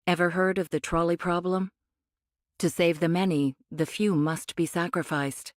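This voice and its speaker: An actress playing a condescending cyborg angel of a rebel faction in an anime game, speaking in a measured, cryptic way. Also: female voice, lowish voice, steady tone, dry recording, clean studio sound, natural voice. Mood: cool, provoking. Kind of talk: anime game voice acting, expository dialogue.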